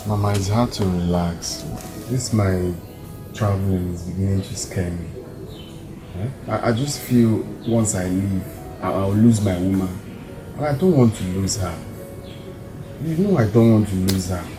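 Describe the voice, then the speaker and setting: An actor playing a devout, excitable young son, speaking expressively with a Nigerian Igbo accent and repeating words for emphasis. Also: male voice, low voice, unhurried, pauses often, over music. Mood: anxious, pleading. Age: young adult. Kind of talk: emotional film drama acting.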